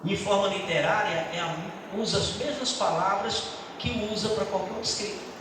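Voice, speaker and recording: Middle-aged male pastor, earnest testimony with halting restarts, fairly high voice, distant mic in an echoey church hall with noise behind. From Brazil. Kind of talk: church teaching talk.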